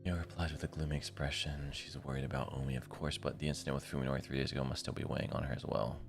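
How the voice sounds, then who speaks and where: Very deep, gravelly male streamer over music. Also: flat delivery, very fast. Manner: slangy, informal asides. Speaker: live streamer. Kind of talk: reading a visual novel aloud with casual commentary.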